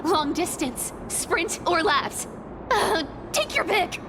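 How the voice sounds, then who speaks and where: An actress playing a sly, haughty, cat-like demigoddess thief in a video game, theatrical, bossy and greedy for treasure. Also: female voice, extremely high pitch, animated, takes it slowly, noisy background, full character voice. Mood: grumpy, flustered. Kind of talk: video game character acting. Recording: game music behind the voice.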